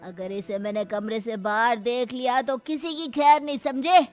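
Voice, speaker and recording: A woman's voice: a little high, steady tone, normal speed, darkish tone, soft background music, natural voice. A woman voicing an angry, domineering middle-aged uncle who scolds and gives orders in a family story. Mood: furious. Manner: scolding, commanding.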